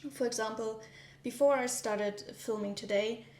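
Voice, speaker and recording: A German woman with a German accent, vlogging in a gentle, hesitant way with frequent ums while baking in her home kitchen. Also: fairly high pitch, fairly even intonation, normal speed, reverberant. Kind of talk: soft-spoken vlog narration.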